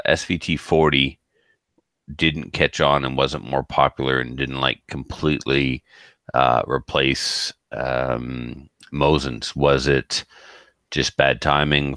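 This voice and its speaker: A man asking questions as a podcast host, casual, with hesitant, halting phrasing. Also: a bass voice, sharp tone, smooth, dry recording, clean studio sound.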